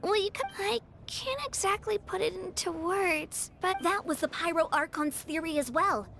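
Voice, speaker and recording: A woman's voice: extremely high pitch, normal speed, vocal fry, a bit bright, a little hiss or hum, full character voice. A woman voicing a young, bold and caring adventurer in a video game. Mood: earnest. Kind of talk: video game character acting.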